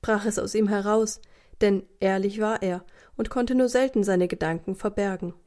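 Female storyteller for children's radio play. Warm, mellow, medium pitch, scripted narration. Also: steady tone, normal speed.

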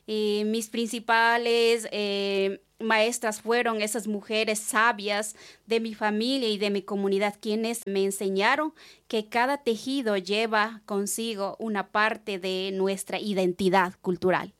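Slow-paced woman. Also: mid-range voice, steady tone, fairly bright tone, smooth. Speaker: guest, textile artisan. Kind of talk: formal prepared answers, read-like.

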